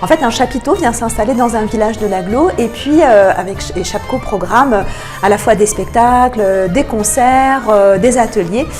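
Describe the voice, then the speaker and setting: A woman giving explanatory answers as a radio interview guest, often pausing between phrases. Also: a little high, over music. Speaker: interview guest, cultural programme manager.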